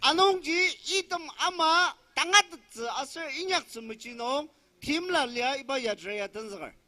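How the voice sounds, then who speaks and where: A man's voice: extremely high pitch, lively intonation, moderate pace, bright, forceful tone. A man interpreting a political speech for a crowd, declaiming it phrase by phrase. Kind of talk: loud consecutive interpreting of a speech.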